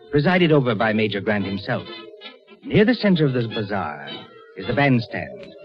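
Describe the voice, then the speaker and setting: A man hosting a suspense program with theatrical, ominous 1940s radio narration. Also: fairly low pitch. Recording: muffled old radio recording with music.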